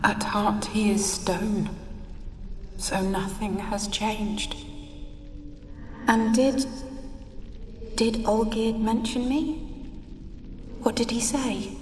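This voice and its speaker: An actress playing the sorrowful, wistful ghost of a dead wife in a fantasy game, with soft, dreamy, halting speech. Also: female voice, mid-range voice, fairly even intonation, a little hiss or hum. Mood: bitter longing. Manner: slow, dreamy, mournful, halting. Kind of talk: cinematic fantasy game acting. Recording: echoey long reverb, distant, music behind.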